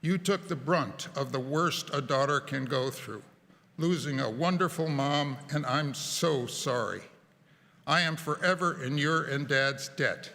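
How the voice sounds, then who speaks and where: A man's voice: medium pitch, measured pace, creaky, bright, forceful tone. A man reading a heartfelt written tribute to his family at a memorial service. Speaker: family member giving a tribute. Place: church sanctuary. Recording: long reverb of a church hall.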